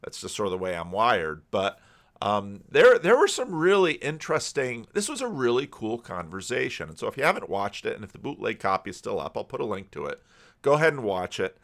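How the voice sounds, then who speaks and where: A man giving casual, unscripted commentary in a rambling, halting way, often restarting his sentences. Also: on the low side, wildly swooping pitch, gravelly, a bit bright. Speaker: YouTube commentator and pastor.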